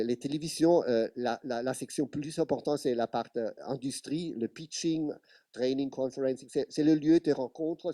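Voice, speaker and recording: Italian man, non-native French, hesitant panelist, mellow voice, dry close sound. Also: mid-range voice, dry recording. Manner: hesitant, searching for words in French. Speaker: panelist, public TV documentary producer. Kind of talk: panel talk.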